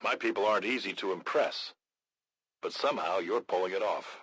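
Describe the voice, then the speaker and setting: Husky, gruff resistance leader. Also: male voice, deep voice, a bit bright, no room sound, low-quality recording. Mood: measured approval. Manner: blunt, measured, grave. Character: gruff, blunt, battle-hardened male resistance leader. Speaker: military faction commander in a video game. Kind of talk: scripted video game voice acting.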